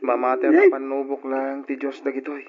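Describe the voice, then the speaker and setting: A man's voice: mid-range voice, level tone, moderate pace, highs cut off, a little hiss or hum, natural voice. A man acting a devout, consoling male cousin in an old radio drama, earnest and pleading.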